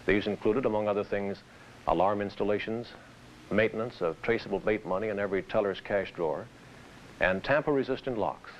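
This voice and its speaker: A man presenting scripted instruction in a formal, deliberate manner. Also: on the low side. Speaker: training film presenter. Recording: dull hissy vintage training film soundtrack.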